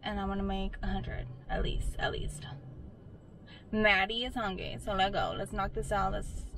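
A woman's voice: medium pitch, level tone, moderate pace, creaky, a little hiss or hum. A young woman chatting to viewers from her car while driving deliveries, in a casual, upbeat way. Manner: chatty, talks to viewers, brightens when greeting staff. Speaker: delivery driver vlogger. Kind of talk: casual vlog chat. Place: inside a car. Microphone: camera on the dashboard. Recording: music playing in a car.

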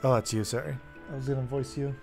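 A man's voice: mid-range voice, steady tone, fast, gravelly, a little mellow, faint music behind. A young man chatting casually while playing a video game with a friend, laughing often. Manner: laughs a lot, reads game lines aloud. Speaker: gameplay co-host. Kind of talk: casual gaming chat.

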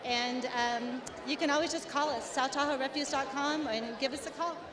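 A woman's voice: high-pitched, fairly even intonation, moderate pace. A middle-aged woman representing a company, explaining her work earnestly into a handheld mic at an indoor expo. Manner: earnest, explanatory, many restarts. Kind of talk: expo interview. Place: indoor expo hall before a backdrop. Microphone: handheld mic held by the interviewer. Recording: echoey hall with crowd noise.

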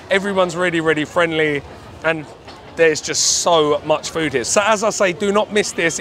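A man's voice: a little high, fairly bright tone. A man, vlogging chattily and enthusiastically about street food, talking into a camera held at arm's length. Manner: chatty, enthusiastic, addresses viewers as guys. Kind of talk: casual street food vlog. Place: outdoor night market. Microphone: handheld camera at arm's length. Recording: faint outdoor market noise.

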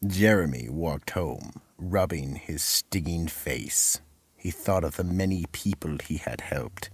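A male narrator reads a radio mystery script gravely. His voice is extremely deep and husky, and he takes his time over each phrase. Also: animated, no echo. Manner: measured, grave.

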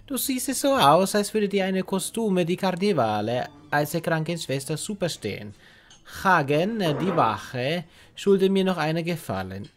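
A man playing a scheming, sentimental Italian inmate in an audio drama parody, with an Italian accent, halting broken grammar and sprinkled Italian words. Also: on the high side, animated, close to an everyday voice. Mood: conspiratorial.

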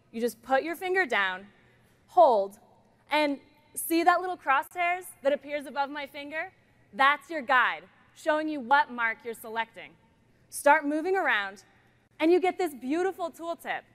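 A middle-aged woman presents a product demo on a big conference stage, talking through a headset mic. Her voice sits high, and she keeps the delivery bright and playful, slipping jokes between features.

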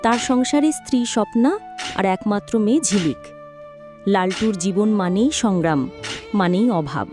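A woman's voice: mid-range voice, lively intonation, moderate pace, music in the background. Woman narrating a cartoon story.

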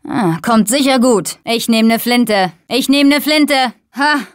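A young woman voicing a tough video game survivor of a zombie apocalypse in short, casual, slangy, expressive lines. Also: fairly high pitch, takes it slowly, no echo, clean studio sound. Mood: pleased. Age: young adult.

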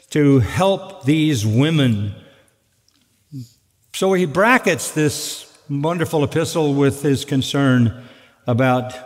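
An older male pastor preaching from a pulpit, measured and earnest with dry asides. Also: on the high side. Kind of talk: expository sermon. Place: large church sanctuary at a wooden pulpit. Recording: long reverb of a large sanctuary.

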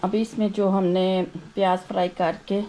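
A woman with a sore, hoarse throat hosting a home cooking video, chatting her way through each step. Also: mid-range voice, flat delivery, normal speed, background noise. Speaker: cooking channel host. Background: frying and sizzling in a kitchen.

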